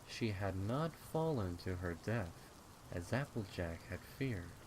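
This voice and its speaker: Low, slow-paced man narrating horror. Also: expressive, a little mellow, low-quality recording. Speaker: fan fiction narrator. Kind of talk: dramatic horror story narration.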